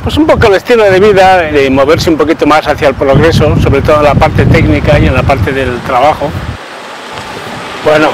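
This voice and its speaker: A man's voice: a little high, moderate pace. A middle-aged man from Aragon with an Aragonese Spanish accent, chatting casually as he shows a reporter around. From Aragon, Spain. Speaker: expatriate interviewee showing a reporter around. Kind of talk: casual on-location interview. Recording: on-location, faint urban traffic noise.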